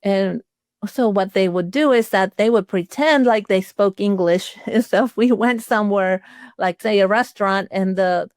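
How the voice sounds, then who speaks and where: A woman's voice: mid-range voice, moderate pace, no room sound. Older woman teaching a webinar, dry sound. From Chile. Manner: conversational, explaining with sample phrases. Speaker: webinar presenter, retired accountant. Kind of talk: instructional webinar talk.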